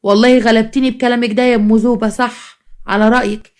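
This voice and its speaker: Woman doing a needling, fast-talking Egyptian mother-in-law. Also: a little high, steady tone, lightly acted. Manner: sly, reproachful, mock-sweet. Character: meddling, needling mother-in-law of a new bride. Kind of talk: dramatized storytelling.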